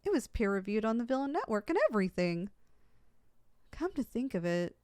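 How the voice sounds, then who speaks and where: A woman's voice: a little high, very animated, brisk, darkish tone, smooth, dry recording, studio quality. A woman playing a proud but easily flustered, lovesick young supervillain in an affectionate, dramatic ASMR roleplay. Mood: playful.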